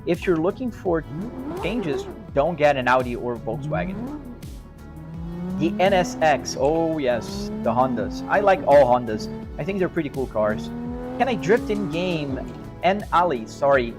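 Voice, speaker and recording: Man, Brazilian accent, fairly high voice, casual stream chat, rambling, music in the background. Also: big pitch swings, unhurried. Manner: easygoing, rambling, restarts and hedges. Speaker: sim racing live streamer. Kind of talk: casual chat answering live chat. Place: home sim racing rig. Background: music playing.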